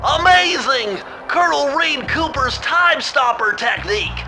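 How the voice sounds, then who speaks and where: A man's voice: very high voice, animated, unhurried, exaggerated voice. An actor playing an eager, bookish male turtle genius who explains things precisely, over game music. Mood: excited. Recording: game soundtrack music behind.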